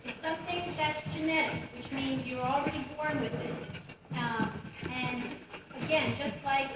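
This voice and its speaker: A woman answers schoolchildren's questions in a plain, patient way, with a high voice. She is recorded from across a large, booming room. Strong tape hiss sits under everything. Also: measured pace, very echoey. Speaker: puppeteer explaining to schoolchildren. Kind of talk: informal classroom explanation. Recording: booming gym, distant camcorder, heavy hiss.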